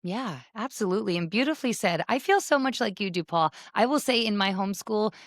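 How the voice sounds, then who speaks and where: A woman's voice: mid-range voice, expressive, brisk, no echo. Effusive woman podcast host, dry sound. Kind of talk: podcast hosting, conversational interview.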